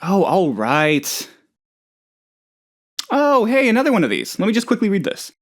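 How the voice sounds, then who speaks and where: Naive, excitable oddball boss, swooping pitch. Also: male voice, a little slow, heavily acted. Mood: eager. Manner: chatty, rambling, hesitant, earnestly gullible. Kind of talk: video game character dialogue.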